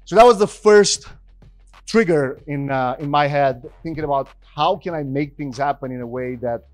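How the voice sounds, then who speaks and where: A man speaks on stage at a mid pitch, energetic and conversational, pushing up his emphasis as he addresses the crowd. Faint music plays low underneath him. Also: no echo. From Romania. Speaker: podcast host and business speaker. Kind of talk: stage presentation to a live audience.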